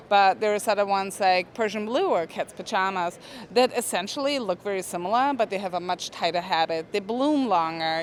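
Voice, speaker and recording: A young woman from Austria, chatting casually about plants in an interview at a trade show, relaxed and soft-spoken. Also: mid-range voice, slight background noise. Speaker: plant product manager.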